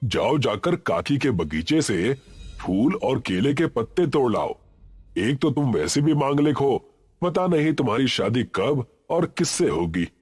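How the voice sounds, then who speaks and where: A man's voice: mid-range voice, big pitch swings, unhurried, darkish tone, breathy edge. A man voicing a nagging, middle-aged village father in a storybook tale, with a commanding way of talking. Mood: bossy. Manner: scolding, commanding. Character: nagging, exasperated village father of a grown daughter.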